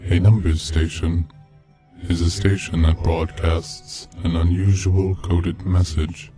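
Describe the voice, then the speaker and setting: A man reading a scripted narration in a measured, deliberate manner. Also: sits low, level tone, warm tone. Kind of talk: scripted narration of a video game mystery. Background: background music.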